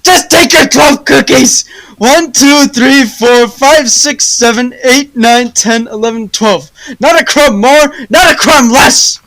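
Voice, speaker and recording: A proud, stingy, quick-tempered baker man in a radio play, played by a teenage girl. The voice is bright and forceful, scolding with theatrical emphasis. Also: high-pitched, full character voice, low-quality recording. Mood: angry, stubborn. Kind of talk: high school radio play acting.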